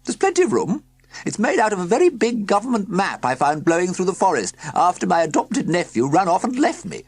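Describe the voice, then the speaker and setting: A reader voicing an eccentric middle-aged aunt who chatters and grumbles in a shrill, high voice. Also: female voice, big pitch swings, brisk, darkish tone, breathy edge, dry recording, full character voice. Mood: grumbling chatter.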